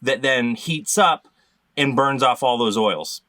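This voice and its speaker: Male comedy panelist, medium pitch, bright tone, halting deadpan absurd advice. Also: no echo. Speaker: quiz panelist. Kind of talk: improvised absurd comic explanation.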